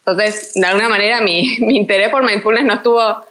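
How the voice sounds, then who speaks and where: A woman from Argentina with an Argentine accent, a psychologist guest explaining her work in a relaxed, thoughtful way with frequent restarts. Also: medium pitch, brisk, rough recording. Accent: Argentine Spanish. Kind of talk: casual interview chat.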